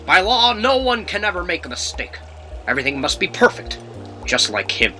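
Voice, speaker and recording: Someone voicing a bright, forceful cartoon rebel leader. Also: medium pitch, very animated, normal speed, faint music behind. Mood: defiant. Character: Angus Fangus, gruff, defiant rebel leader bird. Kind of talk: amateur comic dub acting.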